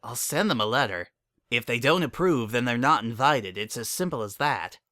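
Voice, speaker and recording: A man's voice: medium pitch, expressive, normal speed, bright, forceful tone, no echo, clean studio sound. Man as easygoing, romantic noble mare. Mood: firm, resigned. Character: easygoing, romantic young female noble pony. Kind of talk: dramatic reading of fan fiction.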